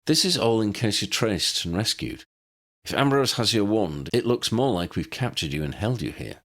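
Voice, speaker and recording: Man playing a brisk, decisive young male leader. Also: fairly low pitch, animated, fairly bright tone. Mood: practical.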